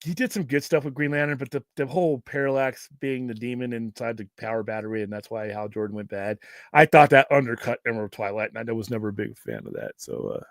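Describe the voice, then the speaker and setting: A gruff American man hosts a casual question-and-answer livestream, recorded dry and close. He rambles off the cuff in a medium-pitched voice, with casual swearing mixed in. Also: animated, quick pace, no echo. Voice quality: gruff, self-described Cookie Monster voice. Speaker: host of a pop culture livestream show.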